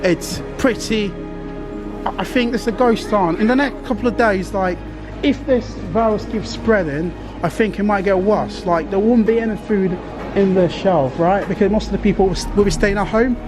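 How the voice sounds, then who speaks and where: A man with a high voice narrates a casual walk-around vlog. He talks informally and exclaims often, with loud music playing behind him in a supermarket. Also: moderate pace. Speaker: vlogger. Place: inside a large supermarket.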